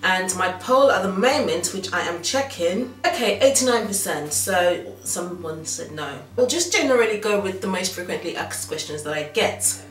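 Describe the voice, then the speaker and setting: A woman's voice: lowish voice, expressive, moderate pace, soft background music. A woman in her thirties from Nigeria with a slight Yoruba accent, chatting casually to camera as a fashion blogger, rambling with frequent ums and restarts. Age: 30s. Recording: roomy sound from far off.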